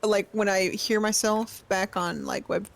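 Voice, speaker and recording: A woman streamer, soft-spoken and a little hesitant, chatting casually while gaming. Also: mid-range voice, a little slow, gravelly. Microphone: streaming microphone.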